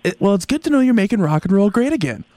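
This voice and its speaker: A man hosting a radio show, asking hesitant, halting questions. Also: high-pitched, big pitch swings, mellow, no echo. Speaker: radio host. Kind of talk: radio interview.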